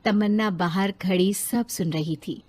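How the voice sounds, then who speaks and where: A woman's voice: medium pitch, a little slow, a little mellow. A woman storyteller reading scripted narration for a moral tale.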